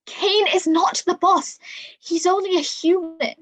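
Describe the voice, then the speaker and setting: An earnest young heroine of a sci-fi stage play, acted by a woman. Her voice is extremely high, with a troubled, sincere delivery. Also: steady tone, unhurried, fairly bright tone, poor audio. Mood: resolute. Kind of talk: reader's theatre acting.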